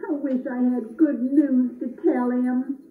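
A woman's voice: sits high, flat delivery, measured pace. A woman voicing an earnest, worried, kindly Christmas elf in a children's puppet show. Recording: echoey, tinny, distant camera, faint noise.

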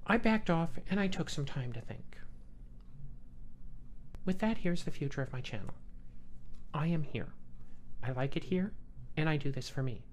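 A woman with a deep, bass-boosted voice giving a scripted channel update in a dry, sardonic way with dramatic pauses. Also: darkish tone, a little hiss or hum. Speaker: video creator and host of a retro gaming channel.

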